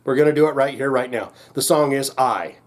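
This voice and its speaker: A man's voice: mid-range voice, brisk. A man hosting a casual music reaction, thinking aloud in a rambling, jokey, slangy way.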